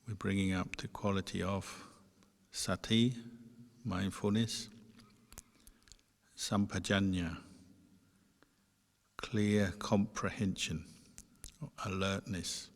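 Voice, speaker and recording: A man teaching meditation, giving guided instruction in a measured way with pauses between short phrases. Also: sits low, steady tone. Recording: long reverberant hall sound.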